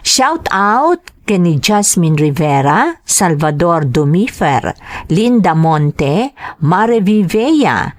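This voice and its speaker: A woman's voice: fairly low pitch, very animated, slow pace, no echo. A woman with a Filipino accent hosting, reading out greetings and letters in a radio style.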